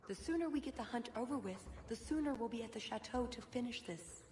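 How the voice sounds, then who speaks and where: A woman's voice: a little high, animated, a little fast, darkish tone, reverberant, big-room sound, slight background noise, lightly acted. A woman playing a knowing, playful huntress and guide in a video game.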